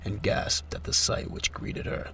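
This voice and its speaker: A man's voice: measured pace, a bit bright, slight background noise. A man reading scripted narration for a military audio drama as its narrator. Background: music.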